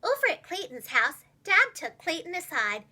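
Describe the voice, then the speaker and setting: Animated, high-pitched woman reading a children's story. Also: normal speed. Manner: animated, carefully enunciated. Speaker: preschool teacher reading a storybook aloud.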